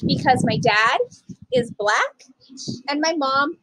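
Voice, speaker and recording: A woman's voice: high voice, very animated, takes it slowly, pushed and bright, slight background noise, rough recording. A woman reading aloud to young children as a storytime reader, speaking to them directly in simple, child-directed phrasing.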